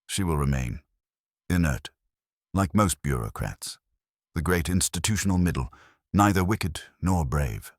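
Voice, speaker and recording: A man voicing a stern, unsentimental genius detective in an audiobook, speaking in a formal, measured way. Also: sits low, animated, no room sound, clean studio sound. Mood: grave, dismissive.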